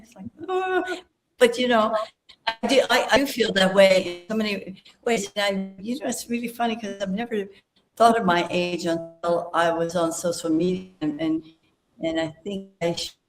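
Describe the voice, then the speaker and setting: A woman's voice: mid-range voice, rough recording. A woman in her eighties, a panel guest talking reflectively about her life, with many fillers and restarts. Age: 80s. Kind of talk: casual panel conversation.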